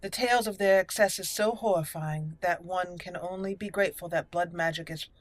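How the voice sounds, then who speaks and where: A woman hosting a roleplay game playthrough, speaking in a deliberate storyteller manner. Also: on the low side. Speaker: gaming channel host. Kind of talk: roleplay game commentary and dramatic lore reading. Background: faint game music.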